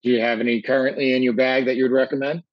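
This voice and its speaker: An easygoing man hosts a podcast, asking questions casually and stumbling into restarts now and then. His voice sits mid-pitch, recorded dry and close with slightly muffled quality. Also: little pitch movement, very fast, no echo. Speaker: podcast host.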